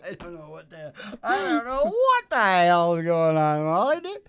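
A man's voice: sits high, wildly swooping pitch, moderate pace, fairly bright tone, clean tone, no echo. A man hosting a live country music show, chatting casually and playfully between songs. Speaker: singer and show host. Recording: live music performance with guitar. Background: acoustic guitar.

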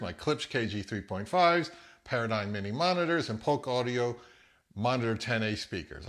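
A man's voice: medium pitch, a bit bright. A man in his fifties with an American accent, talking casually as the host of a vlog. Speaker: host of a hi-fi audio channel.

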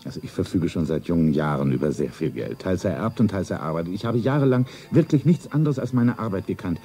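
Deep, very mellow older lover. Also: male voice, lively intonation, fast talker. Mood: evasive. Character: wealthy older lover, tender, solemn, secretive. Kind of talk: stylised radio play acting, narrating his own scene. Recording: 1970s radio play, music behind.

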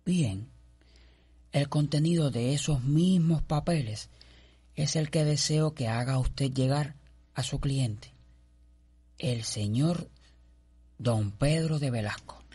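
Husky, slow-talking persuasive gentleman. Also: mid-range voice. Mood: insistent. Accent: Cuban. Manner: insistent, deliberate, formal. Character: persuasive, calculating colonial gentleman offering a bribe. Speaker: radio actor. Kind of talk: radio drama acting.

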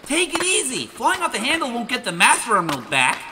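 A man's voice: very high-pitched, wildly swooping pitch, a little fast, heavy background noise, heavily acted. A man voicing a cocky, laid-back young hedgehog hero in a cartoon comic dub, breezy and casual. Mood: calming. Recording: reverberant, distant, under very loud music.

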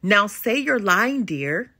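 A woman's voice: mid-range voice, very animated, takes it slowly, sharp tone, slightly rough, full character voice. A woman voicing a motherly, patient mama bear in a children's storybook, soothing and encouraging. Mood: prompting. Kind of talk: children's storybook read-aloud.

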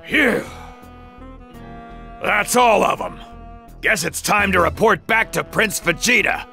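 Forceful, gravelly brute soldier. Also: male voice, sits high, lively intonation, slow, faint music behind, heavily acted. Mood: weary, puzzled. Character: gruff, brutish alien soldier. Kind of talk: animated video game voice acting.

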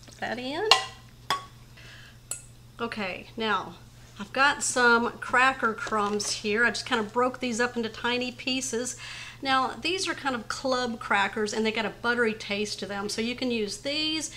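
Woman, middle-aged, home cook host, chatty step-by-step talk, bright tone, faint hiss behind. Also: on the high side, measured pace. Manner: chatty, talks viewers through each step. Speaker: home cooking channel host. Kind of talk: casual cooking demonstration. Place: home kitchen.

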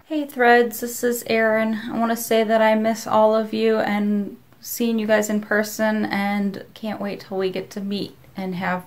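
A middle-aged woman speaking plainly to her congregation as a scripture reader, recorded at home at arm's length. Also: mid-range voice. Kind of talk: casual greeting and scripture reading. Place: indoors, room at home by windows. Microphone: phone or laptop at arm's length.

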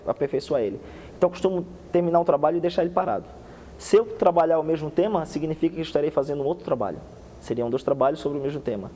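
A man's voice: fairly high pitch, in a large hall, slight background noise. A young man speaking as a guest in a TV studio interview, explaining with many restarts, on a lapel mic. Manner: fast, animated explaining with many restarts and hand gestures. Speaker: guest researcher. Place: TV studio set.

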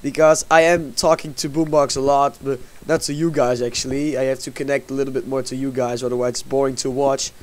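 A man's voice: medium pitch, little pitch movement, a little fast, hardly any pauses. A man casually commenting on a block-building game as channel host, chatty and narrating what he does for his viewers. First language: Dutch. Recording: faint background noise, mouse clicks.

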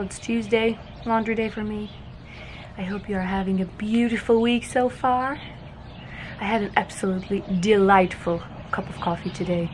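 A young woman chatting cheerfully to her handheld camera as she vlogs her laundry day. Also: mid-range voice. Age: young adult. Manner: cheerful, chatty, laughs easily. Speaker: vlogger. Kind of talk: casual vlog narration. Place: outdoors at home and in a laundromat. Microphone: handheld camera at arm's length. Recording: noisy outdoor ambience.

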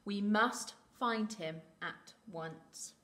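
A fussy female hen from a storybook, played by a woman, who takes charge. She speaks slowly and emphatically, with a bright, forceful edge. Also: mid-range voice. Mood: resolute.

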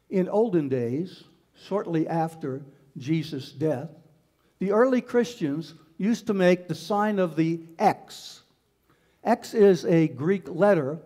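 Brief: older male pastor, higher voice, slow steady sermon delivery, large sanctuary. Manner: slow, measured preaching with repeated phrases. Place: large church sanctuary with tall windows.

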